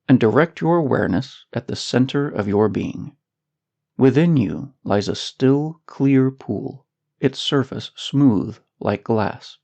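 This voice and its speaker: A man guides a meditation at a very slow, measured pace. His mid-pitched voice has a strong creaky edge, and his delivery is scripted and soothing. The recording sounds slightly dull. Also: dark tone.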